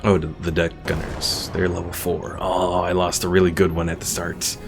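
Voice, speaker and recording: A man's voice: low-pitched, completely flat delivery, moderate pace, creaky, over music. A man giving casual, easygoing live game commentary, thinking aloud and reading out chat as a streamer.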